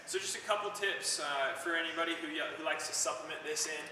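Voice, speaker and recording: A man's voice: fairly high pitch, brisk, noisy background. A young man, a fitness coach, casually walking through technique with lots of fillers and a habit of checking in with "okay?". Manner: relaxed, many fillers, repeats "okay?" to check in. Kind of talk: casual instructional demo. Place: large gym with high walls, indoors. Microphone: camera mic from across the room. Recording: echoey gym from far off.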